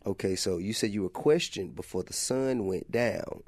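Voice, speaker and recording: A man hosts a podcast interview, pressing his guest with calm, deliberate questions. His voice sits low, and the recording is dry and close. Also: no room sound. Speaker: podcast host.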